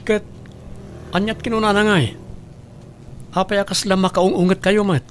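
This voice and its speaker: Man acting a high-voiced, concerned young son. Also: fairly even intonation, normal speed, slight background noise, lightly acted. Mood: concerned, puzzled. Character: concerned grown son questioning his angry father. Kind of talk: Ilocano audio drama acting.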